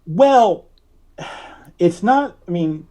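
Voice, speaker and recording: A man giving casual interview answers as a podcast guest, with hesitant, measured phrasing. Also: high voice, expressive, mellow. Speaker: podcast guest, writer and filmmaker.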